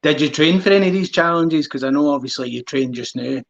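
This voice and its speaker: A man in his thirties with a Glaswegian accent, hosting a casual live-stream chat with a guest, chatty and quick to laugh. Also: on the high side, vocal fry. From Scotland. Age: 30s. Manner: chatty, laughs easily, local words like aye and wee. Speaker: host. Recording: dull lo-fi live stream audio.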